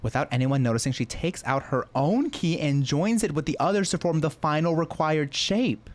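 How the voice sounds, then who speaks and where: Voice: mid-range voice, lively intonation, moderate pace. A game streamer chatting casually and reacting with exclamations and swearing, sometimes reading game text aloud.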